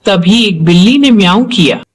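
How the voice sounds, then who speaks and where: A woman's voice: mid-range voice, animated, normal speed, breathy edge. A woman narrator reading a scripted horror story in a storytelling style.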